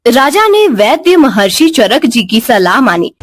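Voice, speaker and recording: Animated, high-pitched woman storyteller. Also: a little slow. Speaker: narrator. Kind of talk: scripted story narration.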